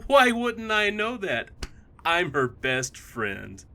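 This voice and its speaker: A man's voice: high-pitched, wildly swooping pitch, slow, bright, forceful tone, heavily acted. A man playing an eerily cheerful, helpful young best friend in an audio drama, chirpy and overfamiliar. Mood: breezy, smug. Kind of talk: exaggerated audio drama acting.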